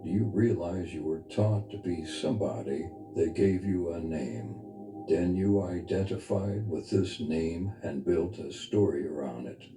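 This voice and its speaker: A man leading a guided meditation as a spiritual teacher, speaking in a soft, intimate, earnest and unhurried way. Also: deep voice, lively intonation. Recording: reverberant voice over background music. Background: music.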